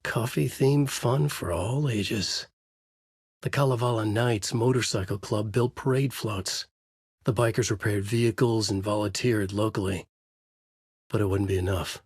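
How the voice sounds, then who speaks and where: A man playing a brooding, haunted writer in a video game, narrating a dark noir thriller in grave, clipped, dramatic phrases with a husky voice. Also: lowish voice, a little slow, no echo, studio quality. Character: brooding, haunted male writer trapped in a nightmare.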